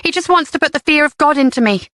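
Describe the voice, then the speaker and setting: A woman voicing a defensive, brash mother who talks in a quick, snappish jumble. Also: on the high side, a bit bright, dry recording, studio quality, pitched above the performer's own voice, natural voice. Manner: defensive, rapid jumbled talk.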